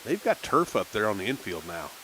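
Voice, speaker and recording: A man calling a minor league baseball game on local radio, chatting casually between pitches. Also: a little fast, slight background noise, poor audio. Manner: relaxed folksy chatter between pitches, rising excitement on big plays. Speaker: play-by-play announcer.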